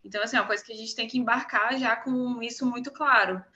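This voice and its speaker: Podcast guest, young woman, Brazilian accent, bright forceful voice, conversational, slightly lo-fi call audio. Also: a little high, steady tone, fast talker. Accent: Brazilian Portuguese. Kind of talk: casual conversation, giving advice.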